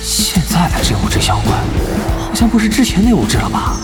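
High-voiced, cocky young gamer. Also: male voice, wildly swooping pitch, fairly quick, reverberant, over loud music. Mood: indignant. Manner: brash, exclamatory, whiny when thwarted. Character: cocky, hot-headed young male online gamer. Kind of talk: Chinese audio drama acting.

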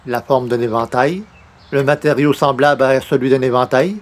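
An actor playing a skeptical, unflappable everyman in a comic radio play, dry, understated and gently sarcastic. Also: mid-range voice, steady tone, normal speed, close to an everyday voice. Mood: dryly puzzled. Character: skeptical, unflappable everyman, dryly humoring a schemer. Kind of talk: comic radio play acting in old-time sitcom format.

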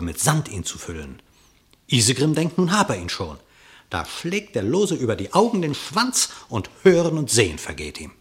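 An actor playing a storyteller, narrating a radio-play animal fable in verse with theatrical, vivid declamation and a husky voice. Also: male voice, mid-range voice.

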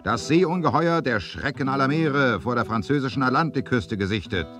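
A man playing excited newspaper sellers in an audio drama, crying sensational headlines in a loud, declamatory way. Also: medium pitch, normal speed, over music, voice pushed up, natural voice. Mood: alarmed, sensational.

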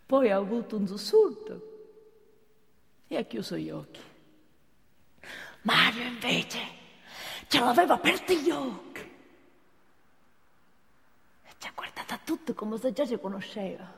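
A woman's voice: on the high side, sing-song, unhurried, creaky, pauses often, fairly bright tone, heavily acted. A woman playing an anxious, devoted female friend in a theatrical monologue, earnest, emotional and colloquial. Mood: moved, wondering. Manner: emotional, colloquial, repetitive urging. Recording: reverberant theatre hall.

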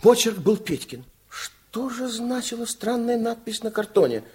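A man narrating a children's radio play in an expressive storybook style, with dramatic pauses. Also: very high voice, husky. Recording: old 1960s radio recording with music.

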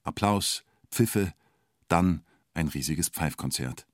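An actor narrating a radio play in the present tense as an observant storyteller, in terse, understated fragments. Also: male voice, low voice, slow, no echo, studio quality. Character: male storyteller following an onlooker at a siege, observant.

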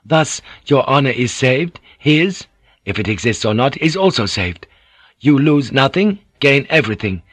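A man performs a confident samurai counselor in a historical audiobook. He reasons in a measured, persuasive way with courtly respect. The sound is close and dry. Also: mid-range voice, lively intonation, fairly bright tone, dry recording, pitched above the performer's own voice.

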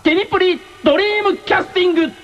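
男性がラジオの司会として、くだけた調子で相手と雑談している。. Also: male voice, very high-pitched, fast talker, a bit bright. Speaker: radio show host. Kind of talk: casual radio chat. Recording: dull radio sound with music behind.

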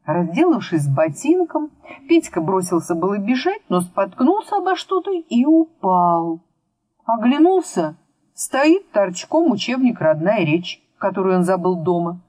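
Expressive woman reading a children's story. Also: mid-range voice, unhurried, no echo. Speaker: audiobook narrator.

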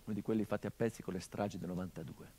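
A middle-aged actor playing the grieving son of a murdered journalist in a theatrical monologue, brooding and bitter, moving between hushed and impassioned delivery. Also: male voice, lowish voice, steady tone, rapid-fire, husky. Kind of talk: theatrical monologue broadcast on radio.

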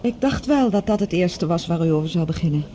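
A woman's voice: on the low side, animated, fairly quick, mellow, natural voice, poor audio. An actress playing a guarded, resolute woman in grief, speaking with formal composure in radio drama style. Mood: composed, resolute. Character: grieving, guarded, resolute daughter of a scientist.

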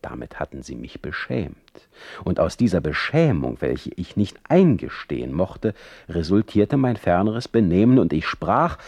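A man's voice: sits low, big pitch swings, a little slow, gravelly, darkish tone, slightly rough, no room sound, exaggerated voice. An actor playing a touchy, ailing older male poet in a radio play, reading his ornate letters with theatrical, ironic precision. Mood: wry, confessional. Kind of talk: dramatic literary letter monologue in a radio play.

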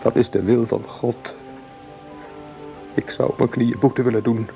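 An actor playing a grave, guilt-ridden soldier in a radio drama, speaking with heavy, hushed solemnity. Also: male voice, mid-range voice, fairly even intonation, measured pace, creaky, faint music behind. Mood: anguished remorse.

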